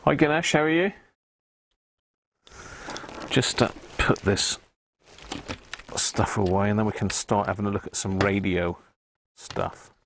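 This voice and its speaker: A man with a fairly low voice talks casually through a live repair session. He thinks aloud as he works, in a chatty way. There is clear background noise with small clicks. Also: animated, measured pace, long pauses, fairly bright tone. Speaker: host of an electronics repair livestream. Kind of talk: casual live workbench commentary. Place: indoors at an electronics workbench.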